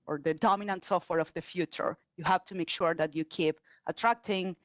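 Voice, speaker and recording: A woman's voice: lowish voice, steady tone, fairly quick, no echo. A woman asking questions as a financial analyst over a video call. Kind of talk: prepared questions on a conference call. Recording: dull, lo-fi video call audio.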